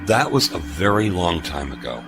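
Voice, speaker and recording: Retired male captain acted by a man, dry humour, lively intonation, sci-fi audio drama, music underneath. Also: low-pitched, normal speed, vocal fry, heavily acted. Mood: guarded, wry. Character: retired male starship captain, wry and measured.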